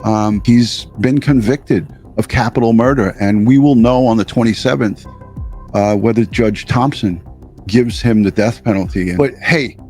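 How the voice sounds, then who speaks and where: A man's voice: on the low side, measured pace, darkish tone, faint music behind. Casual, amused man hosting a show. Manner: amused, informal, repeats himself. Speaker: show host. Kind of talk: casual reaction commentary.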